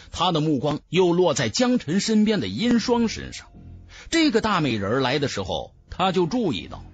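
A man's voice: fairly high pitch, slightly rough, muffled recording. A man reading a fantasy novel aloud as dramatic scripted narration. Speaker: audiobook narrator. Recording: music under the voice.

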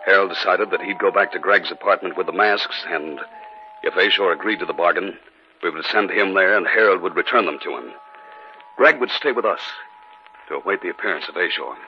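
A man's voice: low-pitched, brisk, soft background music, lightly acted. An actor playing an anxious, earnest man in a 1950s radio drama, with a tense manner. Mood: grave, narrating. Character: anxious, earnest man narrating and facing danger. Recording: noisy, muffled 1950s radio broadcast.

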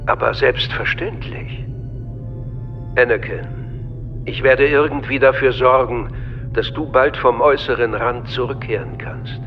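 A man performs an older galactic chancellor for a radio drama. He is measured and courtly, with an unctuous, fatherly manner that hides a schemer. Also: medium pitch, dull audio, lightly acted. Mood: fatherly, soothing. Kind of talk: German radio drama acting.

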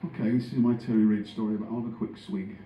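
A man's voice: on the low side, little pitch movement, quick pace, lo-fi sound, slight background noise. An older man, a singer and guitarist, telling a story to the audience between songs on a small club stage. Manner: chatty, storytelling to the crowd. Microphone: stand mic, filmed from the audience. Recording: roomy club sound with band.

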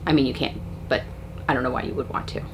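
A woman's voice: a bass voice, fairly even intonation, fast talker, low-quality recording. An American woman in her forties chatting emphatically to camera as she gives practical tips in a casual vlog. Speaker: vlogger sharing RV living tips. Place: inside an RV living space and bedroom. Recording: clear background ambient noise.

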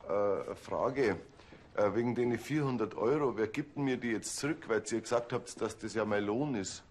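An actor playing a cheeky, aggrieved small-time crook in a TV crime comedy. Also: male voice, on the low side, normal speed, darkish tone, lightly acted.

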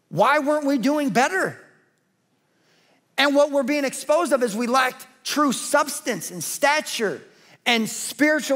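High-voiced guy preaching on a big church stage, emphatic, repeats lines for punch. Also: fairly bright tone. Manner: emphatic, building repetition. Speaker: pastor. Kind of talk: sermon.

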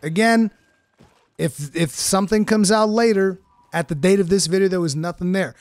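A man's voice: high-pitched, moderate pace, a little mellow, dry recording. A man from Portugal with an American-sounding English accent, casually explaining his first impressions of a game in a chatty way with frequent fillers. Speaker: gaming streamer. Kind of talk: casual game review commentary.

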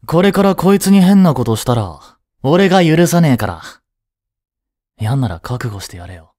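A man playing a laid-back, cheeky, protective male high school student in a romantic audio drama, with casual, slangy, reassuring delivery. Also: big pitch swings, normal speed, a little mellow, dry recording, clean studio sound. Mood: protective, firm.

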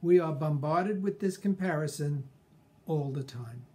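An older man speaks very slowly in a reflective, scripted monologue. His voice sits a little high for a man and has a soft, mellow tone. He measures out each phrase with pauses, speaking indoors at home to a camera about an arm's length away. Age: 60s or older. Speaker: personal growth speaker. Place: small furnished room at home, indoors.

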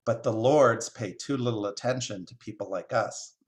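A man's voice: on the low side, normal speed, lightly acted. A man voicing a grumpy, bitter crow who speaks seriously and fatalistically in a storybook reading. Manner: bitter, grave.

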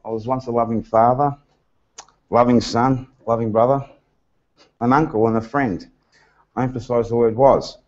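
A middle-aged man gives a prepared personal testimony in a small seminar room, with frank, deliberate phrasing. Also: medium pitch, fairly even intonation, darkish tone. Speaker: guest speaker giving personal testimony. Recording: dull lo-fi seminar webcast sound.

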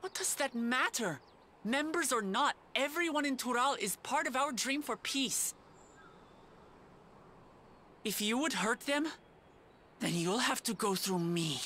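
A woman's voice: on the high side, animated, unhurried, sharp tone, a little hiss or hum. An actress playing an earnest, idealistic young lion-woman warrior in a video game, speaking with impassioned conviction. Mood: defiant. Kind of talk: video game cutscene acting. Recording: music behind the voice.

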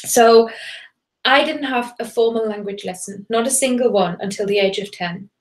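A woman's voice: fairly high pitch, moderate pace. Chatty German woman teaching live. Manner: chatty and enthusiastic, greets viewers from the chat. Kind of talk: live bilingual webinar.

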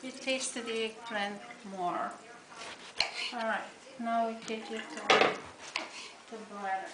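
An older woman talks through a family recipe at the stove, her speech halting and full of repeated words. Her voice sits a little high and is picked up by a camera across an echoey kitchen. Loud clatter and noise run beneath it. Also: steady tone, distant mic. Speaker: home cook teaching a family recipe. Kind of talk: casual kitchen chat. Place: home kitchen. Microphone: handheld camera across the room.

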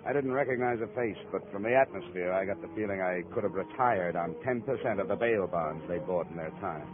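An actor playing a tough, wry American private investigator in a 1950s radio drama, terse and deadpan. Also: male voice, deep voice, fairly quick, music in the background, lightly acted. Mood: wry, tense. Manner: terse, hard-boiled, deadpan. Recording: muffled old 1950 radio broadcast recording.

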